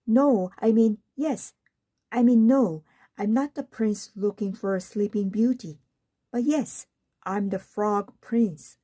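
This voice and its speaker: A woman's voice: medium pitch, slow pace, mellow. A woman voicing a polite, earnest young storybook prince with a slightly flustered manner. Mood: pleading, flustered.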